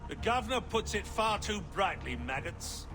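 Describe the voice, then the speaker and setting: A man's voice: sits high, sing-song, normal speed, over music. A man playing a haughty, menacing naval commodore in a video game, barking contemptuous threats. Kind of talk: video game cutscene acting.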